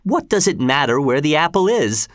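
Casting: audiobook reader as imperious, cruel male governor; mocking, lifted pitch with big swings, dry sound. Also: big pitch swings, normal speed, no room sound, natural voice. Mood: stern, taunting. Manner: mocking, commanding, falsely reasonable.

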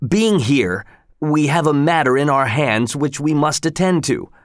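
A man voicing an old Hawaiian wizard, grave and commanding, with a solemn, deliberate delivery. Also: on the high side, lively intonation, no echo, studio quality, voice pushed up. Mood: urgent.

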